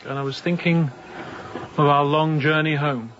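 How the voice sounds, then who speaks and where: A man acting a young, introspective sea captain in a radio drama, speaking in a measured, reflective, self-doubting way. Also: a little high, fairly even intonation, fairly bright tone, slight background noise, lightly acted. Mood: pensive, courteous. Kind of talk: radio drama acting with hushed interior monologue. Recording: dull, lo-fi radio drama recording.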